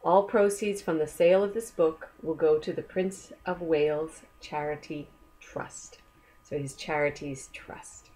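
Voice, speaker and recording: A woman reads a children's story aloud at a very unhurried pace. Her voice sits slightly low, and her manner is gentle and inviting, as a storyteller speaking directly to young listeners. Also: fairly even intonation, a little hiss or hum, low-quality recording.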